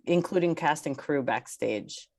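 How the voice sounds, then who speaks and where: A woman theater director talking casually on a panel, halting and repeating words as she thinks. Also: sits low, animated, gravelly, darkish tone.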